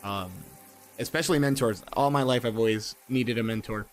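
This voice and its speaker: A man's voice: mid-range voice, moderate pace, a little hiss or hum. A man in his twenties reading aloud and chatting casually, rambling with fillers and repeated words, poking fun at himself. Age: 20s. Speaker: gaming streamer. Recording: music playing underneath. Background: music.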